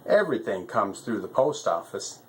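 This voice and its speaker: A man's voice: on the low side, sing-song, normal speed, slight background noise. Man playing a fussy, rambling stork postmaster. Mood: boastful, reciting. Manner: fussy, rambling, reciting rhyming lists. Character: busy, boastful, talkative male stork postmaster.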